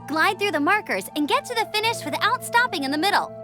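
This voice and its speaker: A woman's voice: extremely high pitch, lively intonation, fast, music in the background. A woman voicing an eager young gliding instructor in a game, upbeat and encouraging.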